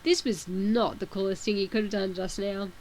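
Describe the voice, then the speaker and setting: A woman with a sore throat reads a webcomic aloud at a middling pitch, dropping in chatty asides between passages. A faint hiss sits under her voice on a home mic. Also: steady tone, normal speed, darkish tone, rough recording. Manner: casual, chatty asides between read text. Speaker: reader of a webcomic.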